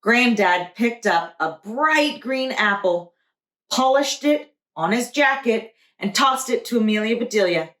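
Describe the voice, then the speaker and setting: A woman teacher reading a picture book aloud to young children with deliberate expression. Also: fairly high pitch, animated, fairly bright tone. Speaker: preschool teacher. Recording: roomy echo.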